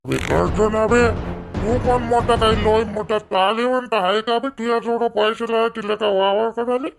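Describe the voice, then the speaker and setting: A man acts out a boastful village drunkard from a cartoon, with a high, gravelly voice. He rambles, repeats himself and picks quarrels in a Vidarbha Marathi accent. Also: steady tone, a little slow, soft background music. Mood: belligerent. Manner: rambling, challenging, repetitive. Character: drunk, boastful, quarrelsome village elder brother, doting father.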